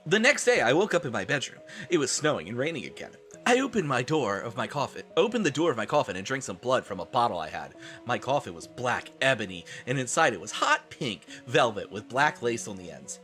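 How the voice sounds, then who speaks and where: A man's voice: mid-range voice, very animated, brisk, fairly bright tone, soft background music. A man live streaming a dramatic reading of fan fiction, breaking off into exasperated asides.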